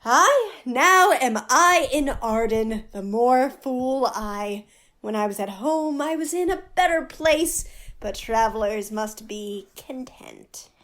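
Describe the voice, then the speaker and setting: Woman as a wry, grumbling jester, unhurried. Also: high-pitched, animated, a bit bright, exaggerated voice. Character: wry, grumbling court fool on a journey. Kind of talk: Shakespearean radio play acting.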